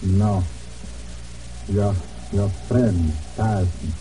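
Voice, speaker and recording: An actor in an old radio drama playing a loyal, grave island rancher with halting, deliberate, formal phrasing. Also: male voice, lowish voice, steady tone. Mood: sorrowful. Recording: muffled old radio, distant, faint noise.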